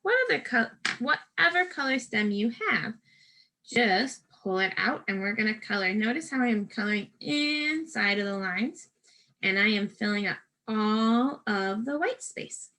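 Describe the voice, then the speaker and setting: A woman's voice: medium pitch, expressive, a little slow, bright, forceful tone. A woman teacher giving a step-by-step craft lesson to first graders, speaking directly to children in simple, patient instructions.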